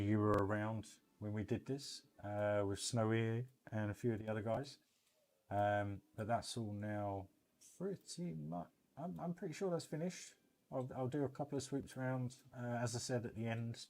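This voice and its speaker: A man giving casual, halting, rambling commentary on a gaming livestream. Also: on the low side, steady tone, a little mellow, slight background noise. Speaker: livestream host.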